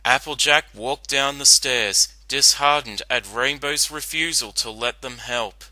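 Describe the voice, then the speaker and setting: Very bright-voiced male story narrator. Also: medium pitch, measured pace, no echo, low-quality recording. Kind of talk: dramatic scripted story narration.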